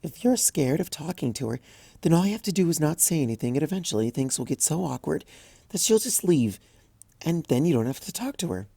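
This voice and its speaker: Reader putting on a timid pony mare, soft and hesitant, higher pitched, hushed, dry cartoon-storybook voice. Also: sing-song, brisk, vocal fry, slightly rough, no room sound, heavily acted. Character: timid gentle young female pegasus pony.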